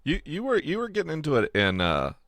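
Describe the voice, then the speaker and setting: Man with lively, swinging pitch. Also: fast talker, no room sound, studio quality. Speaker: podcast host and game creator. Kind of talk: casual conversational explanation.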